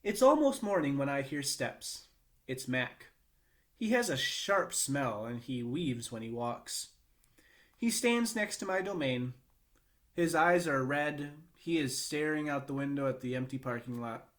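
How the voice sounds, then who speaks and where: A man reads a children's novel aloud. His voice sits at a middle pitch, and he narrates with expression, as a storyteller to young listeners. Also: animated, a little slow.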